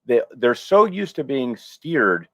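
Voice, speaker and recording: A man's voice: mid-range voice, big pitch swings, slow, fairly bright tone, no room sound. A man giving casual, opinionated commentary as a panelist, recorded on a lo-fi microphone. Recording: dry, dull lo-fi microphone.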